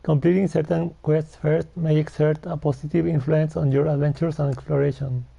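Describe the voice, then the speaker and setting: A man giving casual, halting gameplay commentary and reading on-screen text aloud. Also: fairly high pitch, level tone, vocal fry, warm tone, husky, muffled recording. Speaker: video game streamer.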